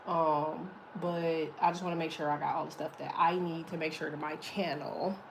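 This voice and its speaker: A woman with a deep voice giving a casual, chatty tarot reading, swearing freely as she talks. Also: steady tone, moderate pace, vocal fry, noisy background. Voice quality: deep, slightly muffled voice. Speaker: tarot reader.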